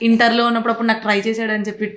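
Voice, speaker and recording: Quick young woman in an echoey room. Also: fairly high pitch, monotone, fairly bright tone. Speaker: prank show host. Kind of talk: casual chat.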